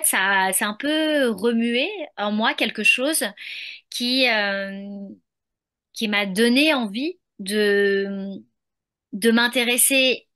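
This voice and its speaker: A woman's voice: fairly high pitch, sharp tone. A young French woman talking in an interview, hesitant, with many fillers and restarts. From France. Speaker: podcast creator, guest. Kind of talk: casual interview conversation.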